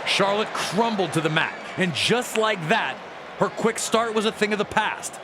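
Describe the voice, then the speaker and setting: A man voicing an excitable wrestling storyteller, narrating matches in a scripted, emphatic and dramatic way for a video game. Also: high-pitched, moderate pace, close to an everyday voice. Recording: loud arena noise behind the voice.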